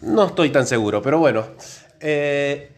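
A man's voice: a little high, expressive, measured pace, faint music behind. A man from Córdoba with an Argentine accent, co-hosting a casual podcast conversation. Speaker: podcast co-host, biologist.

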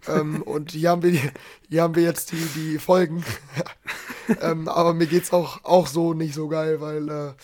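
Hoarse man, podcast co-host, strained voice, fairly high pitch, monotone delivery. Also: normal speed. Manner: candid, self-mocking about his voice. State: hoarse, strained voice after a night of singing; slight cold. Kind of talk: casual chat. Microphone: remote call, voice heard through a bot.

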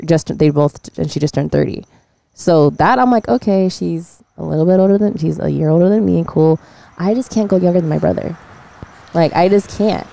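A young woman in her twenties with a gravelly, somewhat low voice that sits on the mellow, dark side. She talks in a loose, chatty way and curses freely while she tells stories. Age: 20s. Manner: chatty, swearing, animated retellings. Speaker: podcast host. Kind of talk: casual chat.

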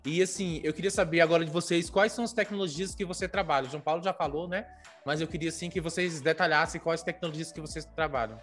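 Man, podcast host, fairly high voice, steady questioning, soft music behind. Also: fast talker. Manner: steady, filler-heavy questioning. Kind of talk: podcast interview.

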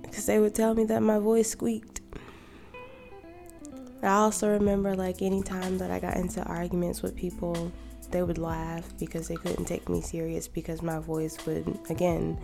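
A woman in her thirties, a podcast co-host, talking calmly and reflectively in a quiet, soft voice. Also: on the low side, gravelly. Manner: calm and gentle. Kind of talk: reflective personal monologue. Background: music.

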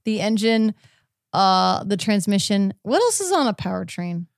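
A woman's voice: medium pitch, big pitch swings, moderate pace, creaky, no room sound. American woman chatting on a dry-sounding podcast. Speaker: podcast host. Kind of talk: casual conversational podcast chat. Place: indoors at home.

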